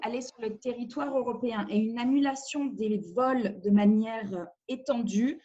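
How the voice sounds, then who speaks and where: A woman's voice: fairly high pitch, steady tone, normal speed, warm tone. French woman lawyer, lo-fi call audio. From France. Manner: careful, explanatory, many hesitations. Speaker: immigration lawyer. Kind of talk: webinar presentation. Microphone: video call.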